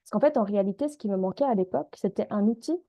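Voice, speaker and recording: A woman, an energy healer and coach leading a calm group healing session, speaking gently with frequent hesitations. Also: mid-range voice, very fast. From Switzerland. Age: 30s. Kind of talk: live group healing session and guided prayer.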